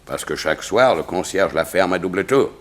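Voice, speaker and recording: An actor playing a dignified royal chamberlain in a 1960s French radio drama, speaking formally and precisely. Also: male voice, deep voice, expressive, normal speed. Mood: grave, formal.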